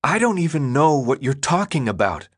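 A man's voice: high-pitched, big pitch swings, takes it slowly, bright, forceful tone, breathy edge, voice pushed up, full character voice. A man voicing a frightened, confused but defiant teenage boy who speaks urgently, in an audiobook. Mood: demanding. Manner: urgent, demanding questions.

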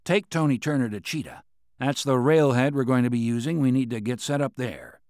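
A narrator reads a brisk, commanding general with businesslike clarity. The general keeps things short and direct. The sound is dry and close. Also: male voice, mid-range voice, a little mellow, no echo, close to an everyday voice.

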